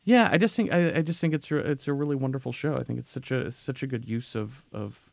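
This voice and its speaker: A man's voice: mid-range voice, fast, gravelly, dry recording. A man talking as a podcast guest in casual chat, rambling with many restarts and frequent laughs.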